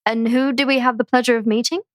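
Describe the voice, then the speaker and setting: A woman's voice: a little high, fairly even intonation, fast, dry recording, natural voice. A woman playing a weary, friendly adventurer in an audio drama, talking casually.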